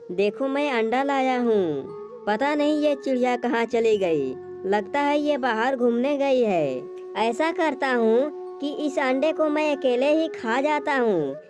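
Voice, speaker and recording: A woman plays a scheming male crow from a kids' cartoon, always hungry. The voice sits fairly high, with simple storybook phrasing and music playing underneath. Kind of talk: children's animated moral story dialogue.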